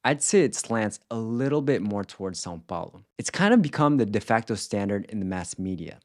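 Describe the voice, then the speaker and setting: A man presenting a language lesson in scripted instructional narration, explaining clearly and enunciating example words with care. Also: on the low side, sing-song, a little fast. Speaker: language teacher presenting a video series.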